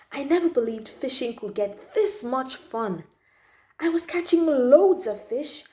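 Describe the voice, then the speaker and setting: A woman reading a children's story aloud in an expressive, emphatic manner, drawing out key words and adding little asides to listeners. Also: high voice, reverberant. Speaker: storytime channel host reading children's books.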